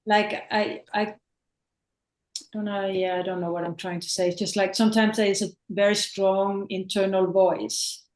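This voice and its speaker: A woman's voice: mid-range voice, level tone, moderate pace, a little mellow, roomy sound. A woman talking in a meeting on a video call, searching for words, trailing off and starting again. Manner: searching, trails off and restarts, says "like" a lot. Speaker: participant in a meeting. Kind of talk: casual spiritual conversation.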